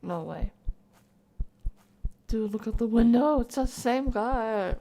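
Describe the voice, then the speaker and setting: A woman doing casual live commentary on a horror game, talking to chat in slang and thinking out loud as she reacts. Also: fairly high pitch, slow, slight background noise. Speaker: game streamer.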